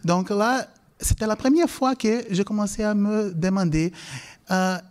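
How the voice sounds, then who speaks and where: Male lecturer from Brazil, native Portuguese speaker, fairly high voice, anecdotal public-talk delivery with hesitant, self-correcting phrasing. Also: measured pace, a little mellow. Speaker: language lecturer and conference speaker.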